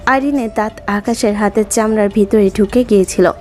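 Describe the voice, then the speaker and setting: A woman's voice: mid-range voice, brisk, a little mellow. A woman reading scripted dramatic story narration as the narrator. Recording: music under the voice.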